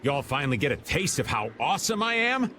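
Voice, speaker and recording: Game role: slippery, boastful middle-aged American rogue, theatrical, highish and swooping. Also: male voice, very animated, fairly quick, pushed and bright, slight background noise. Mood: cocky, exasperated. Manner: theatrical, complaining. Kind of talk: video game voice acting.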